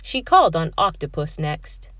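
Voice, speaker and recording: A woman reading a children's picture book aloud, with expressive phrasing aimed at young children. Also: sits low, normal speed, no echo. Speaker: read-aloud storyteller.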